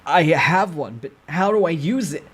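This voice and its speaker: A man's voice: a little high, animated, moderate pace, husky, pitched above the performer's own voice. A man voicing an earnest, unsure young soldier in a dramatic survival story reading. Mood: puzzled, unsure.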